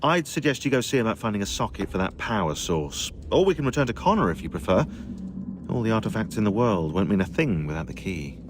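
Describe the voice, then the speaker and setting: A calm male technician in a game. He explains things evenly at a fairly low pitch with lively intonation. A clear noisy background sits under his voice. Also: fast. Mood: explaining. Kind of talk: video game cutscene acting.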